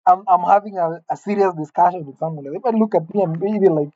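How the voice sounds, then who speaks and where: A man's voice: high-pitched, fast, warm tone, no room sound, dull audio. A man in his late twenties musing aloud to the camera in a wry, rambling, self-mocking way, alone in an empty room. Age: late 20s. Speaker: vlogger. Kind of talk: musing solo monologue to camera.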